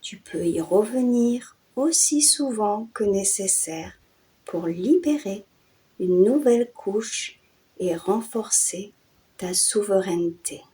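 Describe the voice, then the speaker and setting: A woman guiding a yoga nidra and hypnosis meditation in a soothing, hushed way, in short phrases with breathy endings and long pauses. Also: medium pitch, lively intonation. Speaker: meditation guide and channel host.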